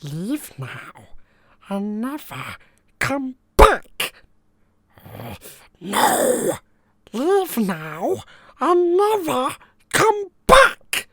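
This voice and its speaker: A man voicing a wretched, split-minded cave creature with a raspy, hissing, creaky voice, by turns wheedling and spiteful. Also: extremely high pitch, huge pitch swings, fairly bright tone, no echo, studio quality, exaggerated voice. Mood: defiant, exultant. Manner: slow muttering, swinging between whimper and shriek.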